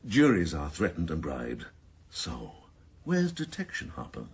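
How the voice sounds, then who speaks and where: An actor playing a cynical, scheming senior detective in a radio drama, talking with dry, sneering mock courtesy. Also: male voice, extremely low pitch, wildly swooping pitch, measured pace, creaky, breathy edge, exaggerated voice. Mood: bitter, ranting. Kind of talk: 1990s radio drama acting.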